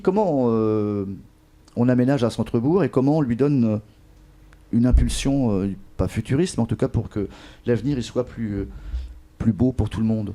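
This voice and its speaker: A man's voice: mid-range voice, mellow. A man interviewing guests on a local current affairs programme.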